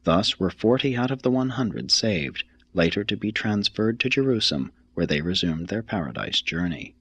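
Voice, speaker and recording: A male reader delivers formal religious narration in a measured, solemn way. His voice sits fairly low but moves widely in pitch across each phrase. It has a slightly rough, husky grain. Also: sing-song, a bit bright, dry recording. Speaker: audiobook reader. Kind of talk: scripted narration of a religious text.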